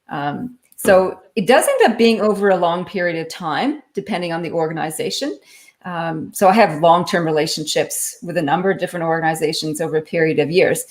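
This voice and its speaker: A woman in a live online interview, explaining her leadership consulting work in an articulate, explanatory way. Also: lowish voice. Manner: articulate, explanatory, lightly laughing. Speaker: guest, leadership author and consultant.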